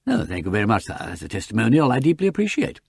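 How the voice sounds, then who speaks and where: A man voicing a breezy, urbane aristocratic gentleman with a clipped, polite upper-class English accent in an audiobook. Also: lowish voice, huge pitch swings, racing, vocal fry, breathy edge, no echo, clean studio sound, exaggerated voice. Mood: polite, amused.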